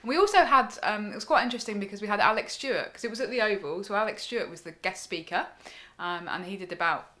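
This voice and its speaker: A woman, a bit croaky, co-hosting a casual podcast and chatting with frequent ums. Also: mid-range voice, fast, a bit bright. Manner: chatty, many ums, laughs easily. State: hoarse. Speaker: podcast co-host. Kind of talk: casual cricket podcast chat.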